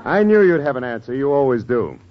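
A very noisy vintage radio recording with a crowd behind. An actor plays a sardonic, unimpressed male clerk with animated intonation. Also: mid-range voice, a little fast, highs cut off, natural voice. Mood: weary, sardonic. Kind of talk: 1940s-50s radio sitcom acting.

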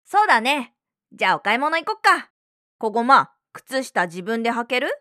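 A woman playing a worried, gently scolding young mother in an amateur radio drama, expressive and casual. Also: fairly high pitch, moderate pace, dry recording. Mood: concerned, puzzled.

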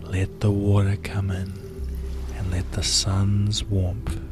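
A man guiding a hypnosis and relaxation session in a soft, soothing, often half-whispered delivery. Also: deep voice, completely flat delivery, takes it slowly, music in the background. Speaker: hypnotherapy guide. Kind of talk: guided hypnosis and relaxation script.

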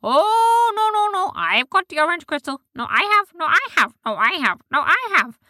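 A woman voicing squeaky, excitable little furry fairy creatures in a storybook. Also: normal speed, dry recording, clean studio sound, exaggerated voice. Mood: excited. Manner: high, squeaky and eager.

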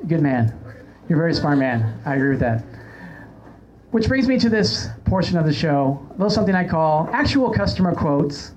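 A man's voice: on the high side, very animated, normal speed, a little mellow, a little hiss or hum. A man hosting a podcast with casual comedic chat and anecdotes in a joking, self-mocking manner. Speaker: podcast host. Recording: slightly dull, lo-fi sound.

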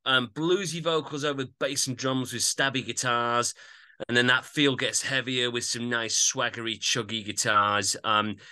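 Bright-voiced man giving casual album commentary. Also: medium pitch, steady tone, moderate pace, clear, resonant tone. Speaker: podcast co-host.

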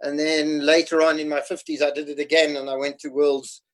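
A man's voice: fairly high pitch, little pitch movement, brisk. A South African man in his fifties, a guest in casual conversation, explaining things patiently and laughing easily. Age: 50s. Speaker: podcast guest.

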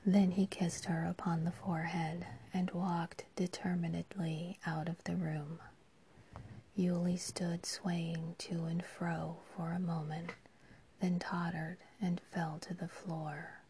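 A woman narrating a story, reading the whole time in a soft, hushed whisper. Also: lowish voice, level tone, slow pace, slight background noise.

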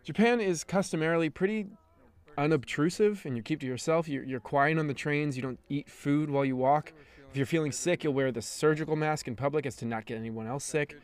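A young American man with an American accent, hosting a podcast and telling a travel story in measured scripted narration. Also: a little high, clear, resonant tone. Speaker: podcast host.

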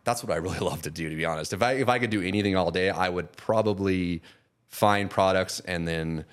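A man in his forties, a podcast guest, talking casually and rambling, with many restarts and trailing off quietly. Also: sits low, fairly quick, clean tone. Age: 40s. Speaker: guest, custom painter and product seller.

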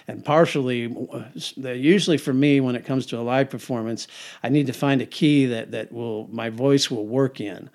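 A man's voice: mid-range voice, vocal fry, darkish tone. Rambling older man songwriter. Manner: rambling, repeats words, chuckles often. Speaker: singer-songwriter guest. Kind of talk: casual interview answers.

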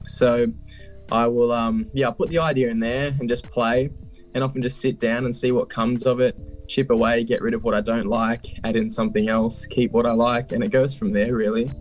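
A young man, a musician guest, talking casually in an interview, relaxed with frequent laughs and ums. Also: mid-range voice.

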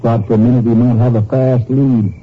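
An actor playing a helpful, plain-spoken small-town sheriff, folksy and easygoing, in 1950s radio drama style. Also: male voice, mid-range voice, flat delivery, brisk, slightly rough, lightly acted. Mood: folksy, puzzled. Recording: muffled, noisy old radio recording.

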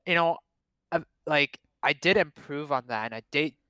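A man's voice: a little high, moderate pace, sharp tone, smooth, no echo, clean studio sound. A man in his late twenties from Canada, a Cantonese speaker, telling rambling, profane, self-mocking stories in a casual chat. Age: 20s. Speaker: guest.

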